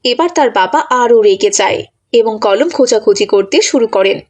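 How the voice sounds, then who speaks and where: A woman's voice: mid-range voice, fairly quick, a little mellow. A woman narrator reading a scripted moral story for children.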